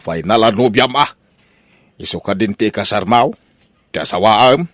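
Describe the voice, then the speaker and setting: Exasperated, nosy Ilocano Filipino father played by a man. Comedy radio drama, swooping pitch, dry sound. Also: lively intonation, moderate pace, a bit bright, no echo. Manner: exclamatory, stammering interjections.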